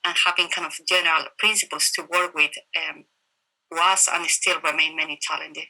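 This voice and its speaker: A woman with a Chilean Spanish accent, a professor explaining her research in an interview over a video call, phrasing her answers in a halting, reflective way. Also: low-pitched, fairly even intonation, gravelly, bright, forceful tone, poor audio. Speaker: interviewed professor of computational chemistry.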